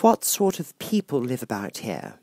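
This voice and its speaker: A man's voice: mid-range voice, wildly swooping pitch, normal speed, gravelly, darkish tone, dry recording, studio quality, voice pushed up, full character voice. A man voicing a polite, timid, curious young girl in a storybook reading, speaking carefully and earnestly.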